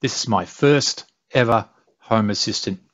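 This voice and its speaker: A man's voice: on the low side, a little slow, fairly bright tone, no room sound. A middle-aged Australian man hosting a casual tech tutorial, talking in a chatty, self-deprecating and blunt way with the odd mild swear. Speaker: tutorial host.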